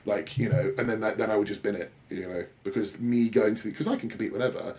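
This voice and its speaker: A man's voice: fairly low pitch, steady tone, fast, darkish tone. A British man in his thirties co-hosting a podcast in a casual, rambling, self-deprecating way with blokey swearing. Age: 30s. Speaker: podcast co-host and bodybuilding coach.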